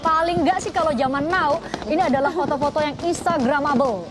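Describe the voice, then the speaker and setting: A woman's voice: high-pitched, fairly quick. A woman co-hosting a live television broadcast in a chatty way, commenting on celebrations. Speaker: television presenter. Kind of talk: live broadcast commentary and co-host chat on New Year celebrations. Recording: loud music behind the voice. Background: loud music.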